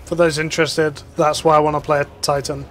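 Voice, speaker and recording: A man's voice: a little high, level tone, a little fast, soft background music. Casual man talking over gameplay. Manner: casual, rambling with fillers. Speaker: gaming youtuber.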